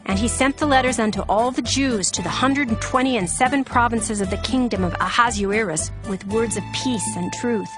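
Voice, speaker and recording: A woman's voice: on the high side, moderate pace, few pauses. A woman narrating a dramatized scripture reading in a formal, solemn storytelling manner. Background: background music.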